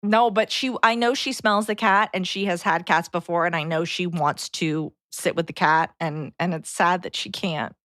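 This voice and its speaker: A woman's voice: lowish voice, steady tone, normal speed, few pauses, fairly bright tone, no room sound, clean studio sound. A woman co-hosting a casual podcast, telling anecdotes and acting out bits of dialogue as she goes. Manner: animatedly quotes dialogue in anecdotes. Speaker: podcast co-host. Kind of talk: casual chat, anecdotal storytelling.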